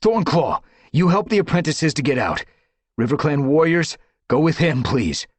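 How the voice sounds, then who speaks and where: A commanding tomcat leader in a storybook, barking out orders to his warriors, as a man puts him on. The voice is raised a little above the narrator's own, husky, with a dry close sound. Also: lowish voice, lively intonation, fairly quick, dry recording, voice pushed up.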